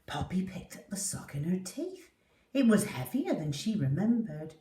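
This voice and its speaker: A woman's voice: on the low side, big pitch swings, moderate pace, warm tone. A woman reading a children's story aloud with theatrical, dramatic delivery. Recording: echoey room, distant from the mic.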